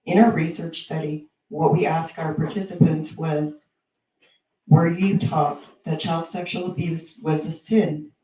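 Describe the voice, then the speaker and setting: Woman, warm mellow tone, firm explaining, echoey room, distant mic. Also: sits low, steady tone, a little slow. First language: Pennsylvania Dutch. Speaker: panel speaker, researcher. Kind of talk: panel discussion talk.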